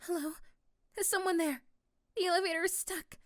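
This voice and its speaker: A woman's voice: very high voice, steady tone, fairly quick, fairly bright tone, no echo, studio quality. A woman playing a sweet, anxious young office worker and crush in an ASMR roleplay drama. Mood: panicked.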